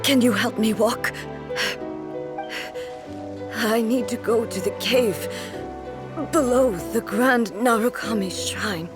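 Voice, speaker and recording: A woman playing a weakened but resolute warrior deity in a video game, speaking in a grave, formal, halting way. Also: a little high. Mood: grave, resolved. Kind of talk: video game character acting. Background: prominent music.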